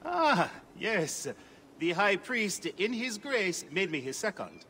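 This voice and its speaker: An actor playing a genial middle-aged temple priest, courteous and effusive. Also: male voice, sits high, sing-song, slow, bright, forceful tone, a little hiss or hum, heavily acted.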